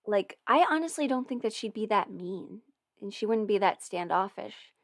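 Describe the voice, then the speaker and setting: A woman's voice: mid-range voice, animated, moderate pace, no room sound. Casting: young adult woman, chatty and rambling, laughs a lot, casual swearing, dry close-mic sound. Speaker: audio performer answering viewer questions. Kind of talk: casual livestream chat.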